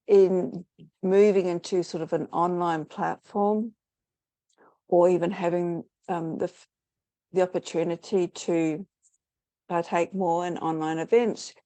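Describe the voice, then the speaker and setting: A woman's voice: on the low side, mellow, no echo. A middle-aged woman, a guest in an online interview, rambling thoughtfully with many fillers and restarts. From New Zealand. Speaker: interview guest, spiritual teacher.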